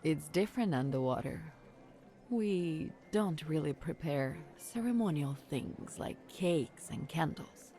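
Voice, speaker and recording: A woman's voice: sits low, animated, slow, warm tone, soft background music, heavily acted. An actress playing a composed, kindly woman of the sea folk, a stage performer, in a story game. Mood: fond, explaining. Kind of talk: game voice acting.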